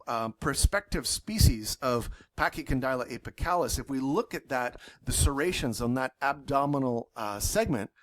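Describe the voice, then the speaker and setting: A man's voice: medium pitch, animated, moderate pace, hardly any pauses, a bit bright. A chatty male university lecturer. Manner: enthusiastic, loosely worded, frequent fillers and self-corrections.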